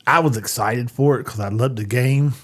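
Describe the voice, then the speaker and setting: Mid-pitched man in casual movie chat. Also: normal speed. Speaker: podcast panelist.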